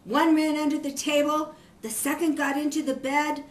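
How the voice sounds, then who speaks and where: An older woman, a grandmother, reading a children's story aloud in an expressive storytelling manner. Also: high voice, normal speed. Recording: echoey room.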